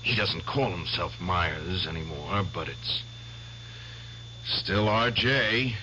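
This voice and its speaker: A bitter, menacing veteran. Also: male voice, deep voice, lively intonation, takes it slowly, bright, forceful tone, slight background noise, exaggerated voice. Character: obsessed veteran hunting an old comrade. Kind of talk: 1970s radio drama acting. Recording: dull vintage radio broadcast.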